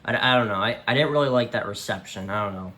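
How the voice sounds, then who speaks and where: A man's voice: on the low side, quick pace, sharp tone. Hesitant man in an echoey room. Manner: hesitant, rambling, trailing off. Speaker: radio collector presenting their collection. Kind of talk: casual unscripted show-and-tell. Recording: roomy echo, light background noise.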